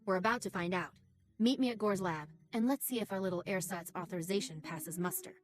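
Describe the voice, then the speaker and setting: A woman voicing a confident, brisk young gnome-like engineer agent in a video game, assertive and businesslike. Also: lowish voice, lightly acted. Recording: game music under the voice.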